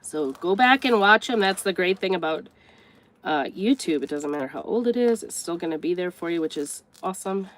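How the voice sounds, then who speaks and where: A grandmotherly woman with a congested, stuffed-up voice talks her way through a craft project. Her voice sits a little low, and she rambles and corrects herself as she works. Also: expressive, a little fast. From United States. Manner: chatty, rambling, thinks aloud while working. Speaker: craft vlogger. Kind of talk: casual craft tutorial chat.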